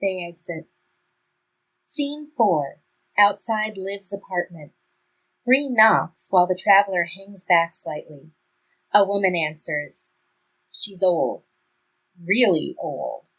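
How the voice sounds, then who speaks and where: A woman's voice: lowish voice, lively intonation, takes it slowly, pauses often, fairly bright tone, slightly rough, low-quality recording. A woman reading stage directions as scripted narration for a play.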